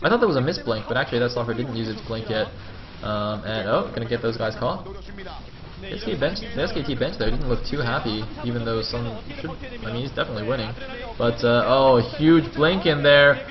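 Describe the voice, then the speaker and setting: A man commentates live on a competitive video game in a casual, think-aloud way. His voice sits at an ordinary male pitch. The audio is dull and lo-fi, with a crowd clearly audible behind him. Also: animated, brisk. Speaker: esports commentator.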